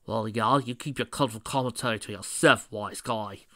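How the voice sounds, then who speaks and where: A narrator puts on a male echidna guardian, proud and easily riled. He talks bluntly and defensively with a gruff, forceful edge, like a cartoon tough guy in a read-aloud story. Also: medium pitch, normal speed, no echo, studio quality, close to an everyday voice. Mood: annoyed.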